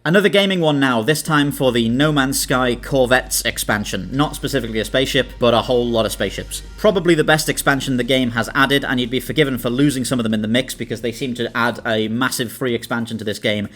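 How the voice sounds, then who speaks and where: A man's voice: mid-range voice, fast, hardly any pauses, a bit bright. A British man hosting a video, delivering scripted essay-style narration. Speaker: video host. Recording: music under the voice. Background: background music.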